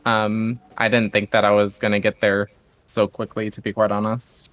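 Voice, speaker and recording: A man's voice: lowish voice, little pitch movement, moderate pace, a bit bright. A man chatting casually and candidly as a guest on a livestream, a bit self-deprecating. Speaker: streamer, guest on a stream. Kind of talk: casual chat.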